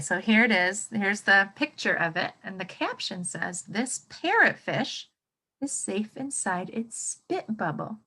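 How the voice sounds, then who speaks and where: A woman teacher reading a nonfiction book aloud to children, expressively, thinking out loud and putting questions to her listeners. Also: medium pitch. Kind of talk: interactive children's nonfiction read-aloud lesson.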